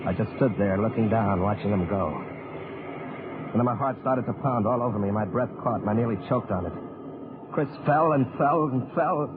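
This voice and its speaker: Actor as a low-voiced American paratrooper captain. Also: male voice, normal speed, lightly acted. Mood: grim. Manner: dramatic, reflective first-person narration. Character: American paratrooper captain recounting his dangerous mission. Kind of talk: 1950s radio drama acting. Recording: noisy, tinny old radio recording.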